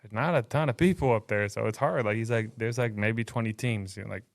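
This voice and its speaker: Easygoing Texan podcast co-host. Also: male voice, fairly low pitch, fairly quick, vocal fry. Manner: easygoing, joking, unboxing mail. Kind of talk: casual chat.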